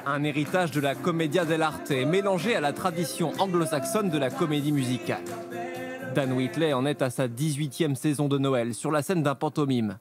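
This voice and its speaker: A man's voice: on the high side, normal speed. A man reading scripted narration for a television news report. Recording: voice-over on music and crowd. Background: music and lively theatre crowd.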